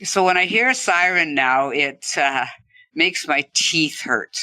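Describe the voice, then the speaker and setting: Bright-voiced man recounting his stroke. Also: fairly high pitch, unhurried, no room sound. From Canada. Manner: relaxed, laughs while telling his story. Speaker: stroke survivor guest. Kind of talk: conversational interview storytelling.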